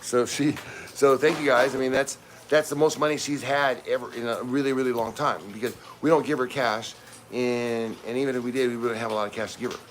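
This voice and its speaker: A man's voice: medium pitch, fairly even intonation, brisk, slight background noise. A man in his fifties chatting casually on a livestream from his home kitchen, rambling with many restarts. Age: 50s. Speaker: livestream co-host.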